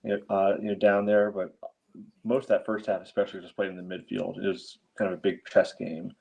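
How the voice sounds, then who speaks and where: A man's voice: low-pitched, little pitch movement, fairly quick, gravelly, darkish tone, husky. A man co-hosting a casual sports chat, rambling a little with hesitant, wry understatement. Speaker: podcast co-host.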